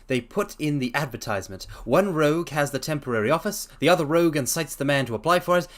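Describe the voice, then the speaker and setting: A man's voice: a little high, lightly acted. A man voicing a brilliant, languid detective explaining his deductions in a theatrical storybook reading. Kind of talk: dramatic reading.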